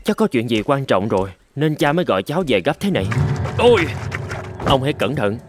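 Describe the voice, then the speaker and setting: A man voicing a gentle, courteous young samurai, the considerate eldest son in a cartoon. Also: mid-range voice, sing-song, a little fast, natural voice. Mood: caring. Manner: polite, considerate. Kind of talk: dubbed cartoon storytelling. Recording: loud music under the voice.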